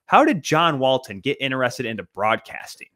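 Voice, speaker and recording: Male podcast host, emphatic showy delivery, announcer flair, mid pitch, close dry sound. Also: very animated, normal speed, a bit bright, dry recording. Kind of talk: animated sports podcast hosting, interviewing.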